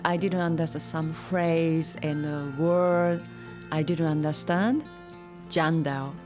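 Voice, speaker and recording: A Japanese woman, a native Japanese speaker, tells her migration story in simple, halting English and laughs often. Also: mid-range voice. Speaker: interviewee. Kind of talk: personal story, monologue.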